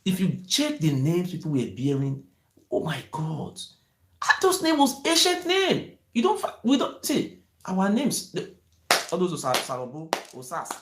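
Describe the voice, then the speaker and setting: A man, hosting his own channel and speaking in an impassioned monologue that often rises toward shouting. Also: sits high, measured pace, roomy sound. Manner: excited, rising to near-shouting, repetitive "you see". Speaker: channel host.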